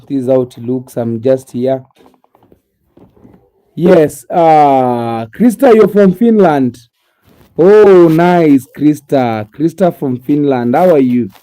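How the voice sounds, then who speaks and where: A man's voice: a little high, dark tone. Sleepy Kenyan man chatting on a live stream. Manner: repetitive, drowsy, talks directly to viewers by name. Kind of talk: casual live-stream chat with viewers. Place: home sitting room.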